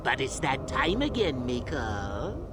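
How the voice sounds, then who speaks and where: A man's voice: mid-range voice, wildly swooping pitch, takes it slowly, music in the background. An actor playing a pompous, sadistic old Sith interrogator in a video game, theatrically lecturing and tormenting his students. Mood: smug, toying. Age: older. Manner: pompous, gleefully cruel mock-schoolmaster, theatrical swings. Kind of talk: video game villain acting.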